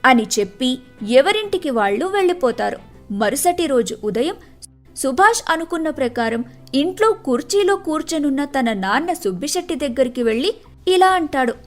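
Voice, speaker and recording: A woman's voice: high-pitched, very animated, normal speed, faint music behind. A woman reading scripted narration for a moral tale as the storyteller.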